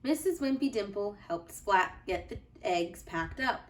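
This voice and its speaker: A woman teacher reading a children's storybook aloud with deliberate, expressive phrasing for young listeners. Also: medium pitch, recorded from a distance.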